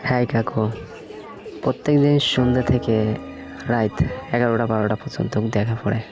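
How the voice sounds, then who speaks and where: A man's voice: medium pitch, steady tone, normal speed, natural voice. A man playing a naive, deferential nephew in a religious cartoon, speaking in a plain, earnest way. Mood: casual, puzzled. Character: deferential city nephew, naive and easily persuaded. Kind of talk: scripted religious cartoon dialogue. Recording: music behind the voice.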